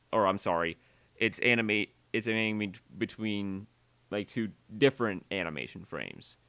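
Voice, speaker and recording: A man narrating a software tutorial voiceover, halting and often repeating or correcting himself as he explains. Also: on the low side, clear, resonant tone, dry recording.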